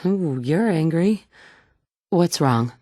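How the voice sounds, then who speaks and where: Plain-spoken woman survivor, game character, direct and wry, lowish voice, dry studio sound. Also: animated, slow, mellow, dry recording, full character voice. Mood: even. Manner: plain-spoken and direct, by turns tender and curt. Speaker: player character. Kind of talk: video game voice lines. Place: recording studio.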